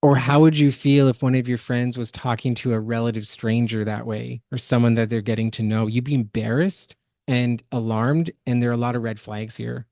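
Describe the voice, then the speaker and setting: Male podcast co-host, analytical and opinionated critic, middling pitch, dry studio-like sound with no room echo. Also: fairly even intonation, brisk, dark tone. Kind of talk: critical reaction commentary.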